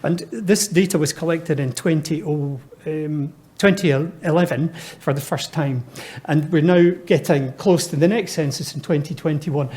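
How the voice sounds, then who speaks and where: A man's voice: a little high, a little slow, hardly any pauses, a little mellow. A man in his fifties speaking as a panelist at a live discussion, good-humoured and chatty, with many fillers and restarts. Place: lecture hall before an audience.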